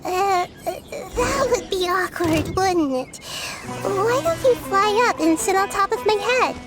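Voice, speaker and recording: A woman voicing a small, excitable, childlike fairy companion in a video game, squeaky and chatty, exclaiming often. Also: slow, exaggerated voice. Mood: flustered, excitable. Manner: speaks of herself in third person, exclaims. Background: loud game music.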